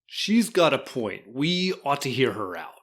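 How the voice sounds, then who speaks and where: A man's voice: mid-range voice, very animated, unhurried, fairly bright tone, heavily acted. A man playing a pliant gang leader in an audio drama, agreeable and eager for profit.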